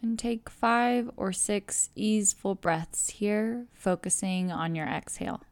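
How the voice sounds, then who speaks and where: A woman guiding a relaxation meditation, speaking in a calm, measured, soothing way with pauses between phrases. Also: mid-range voice, smooth, no echo. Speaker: yoga nidra guide. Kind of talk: guided meditation narration.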